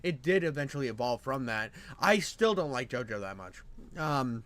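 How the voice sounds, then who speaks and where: A man's voice: mid-range voice, sing-song, normal speed, a bit bright. A man chatting off the cuff to viewers in slangy, sweary, laid-back bro talk. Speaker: commentator. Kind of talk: unscripted casual chat to viewers.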